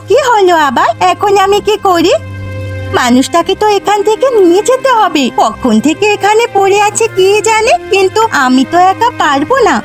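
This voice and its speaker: Woman playing very high-voiced earnest village girl. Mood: alarmed. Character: earnest, anxious young village woman, eager to help. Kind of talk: Bengali cartoon voice acting. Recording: music behind the voice.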